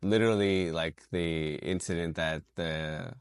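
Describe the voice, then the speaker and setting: A man actor telling wry stories about auditions, animated and conversational. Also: sits low, unhurried, smooth, no echo. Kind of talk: personal testimony.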